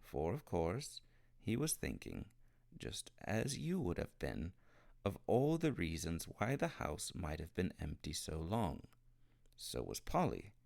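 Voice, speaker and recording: A man reading a children's novel aloud as an expressive storybook narrator. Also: low-pitched, takes it slowly, vocal fry. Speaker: storybook reader.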